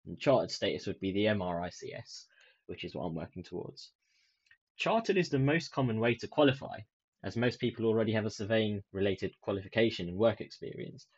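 Soft-spoken man rambling on a podcast. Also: fairly low pitch, lively intonation, fairly quick, husky. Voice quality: soft, soothing voice. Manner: rambling, many ums and restarts. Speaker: podcast host. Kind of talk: casual solo podcast chat. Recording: dull lo-fi sound.

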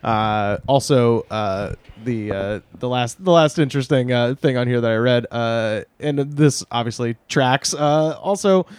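A man co-hosting a casual podcast chat, deadpan and prone to absurd tangents, with lots of "uh" fillers. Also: medium pitch, unhurried, few pauses, smooth, dry recording. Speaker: podcast co-host.

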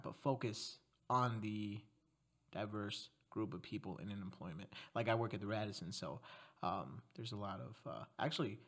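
A man's voice: on the low side, fairly bright tone. A man from Mexico hosting a podcast interview, talking in a loose, rambling way, correcting himself and laughing often. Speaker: podcast host. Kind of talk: casual interview chat.

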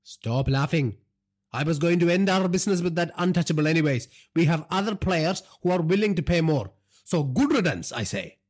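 A man voicing a hot-tempered older Indian crime boss father in an audiobook, gruff, coarse and domineering. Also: sits high, animated, unhurried, voice pushed up. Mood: scornful, irritated. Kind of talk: audiobook character dialogue.

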